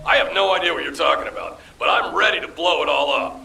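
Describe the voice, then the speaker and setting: An actor playing a gruff, impatient military commander in a video game, blunt and exasperated in a gravelly voice. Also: male voice, on the high side, fast talker, sharp tone, full character voice. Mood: frustrated. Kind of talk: dramatic video game cutscene acting. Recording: distant voice under music.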